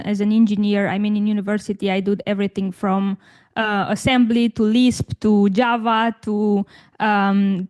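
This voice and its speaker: A woman's voice: mid-range voice, a little mellow. A woman from Romania in her thirties, a guest on an interview podcast, answering thoughtfully with frequent restarts and fillers. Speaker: guest, tech career coach.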